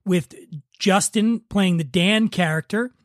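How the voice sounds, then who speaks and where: Male podcast host, high voice, casual, joking, teasing, close dry sound. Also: slow pace, no room sound. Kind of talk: casual comedic podcast chat.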